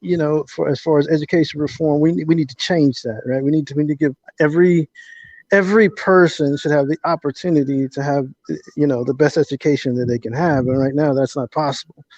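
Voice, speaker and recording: A man's voice: on the high side, steady tone, fairly quick, a little mellow, no echo. A man answering as an interview guest in an earnest, conversational way, often repeating words as he builds a point, on slightly dull call audio.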